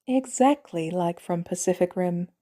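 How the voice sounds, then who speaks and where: Storybook role: wry, unbothered woman, acted by a female reader, mellow tone, dry understated delivery. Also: on the low side, measured pace, close to an everyday voice. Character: cool, wry, easygoing woman with dry humour.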